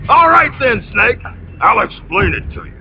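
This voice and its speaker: An actor playing a scheming, bitter military officer in a video game cutscene, talking in a condescending, theatrical, taunting way. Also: male voice, very high-pitched, noisy background, full character voice. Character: smug, scheming traitorous male military officer, bitter and mocking. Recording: muffled game audio under loud music.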